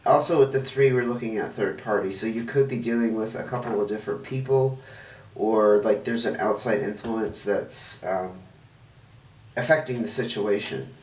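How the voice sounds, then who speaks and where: A man hosting his own channel, giving a casual tarot reading to camera, chatty and thinking aloud while he lays out cards, a bit stuffy and sniffling. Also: fairly low pitch. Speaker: tarot reader, channel host. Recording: roomy, distant mic, faint noise.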